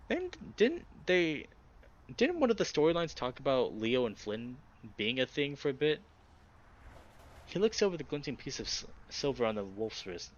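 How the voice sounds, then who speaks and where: A man narrating story prose as he reads a visual novel aloud. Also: medium pitch. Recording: dull, noisy home recording.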